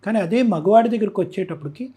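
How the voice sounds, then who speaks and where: A man with a Telugu accent and a husky voice, explaining his points emphatically as an expert guest in a conversational interview. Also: high-pitched, expressive, fast talker, dark tone. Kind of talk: conversational interview commentary mixing Telugu and English.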